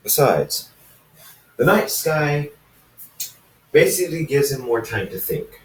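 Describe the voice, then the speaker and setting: A man reading fan fiction aloud as an amateur narrator, halting and often going back to repeat phrases. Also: mid-range voice, big pitch swings. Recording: roomy echo, distant from the mic.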